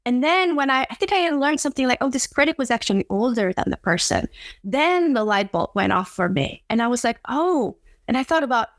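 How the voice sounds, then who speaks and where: A woman's voice: fairly high pitch, very animated, brisk, dry recording, clean studio sound. A woman from Indonesia, not a native English speaker, speaking earnestly as a psychologist guest in an interview from her home office. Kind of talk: conversational interview.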